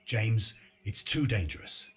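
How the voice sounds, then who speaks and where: An actor playing a stern, gruff male resistance commander in a video game cutscene, curt and commanding. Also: lowish voice, lively intonation, a little slow, full character voice. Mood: wary.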